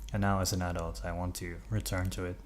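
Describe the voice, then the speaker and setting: A young English man who grew up in Norway, vlogging in a relaxed, hesitant way with many ums and uhs while he stretches at home. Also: deep voice, fast talker, slight background noise. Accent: English with Norwegian upbringing. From England. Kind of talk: casual vlog narration.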